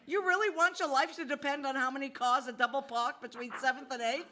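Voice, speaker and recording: A woman's voice: sits high, fairly even intonation, fairly quick, bright, forceful tone. An actress playing an exasperated, sarcastic New York woman who works in banking, lecturing in a live audio play. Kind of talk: live stage audio drama.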